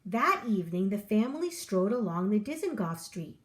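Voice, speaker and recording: A woman reading a children's picture book aloud, with an expressive storyteller delivery. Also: mid-range voice, normal speed.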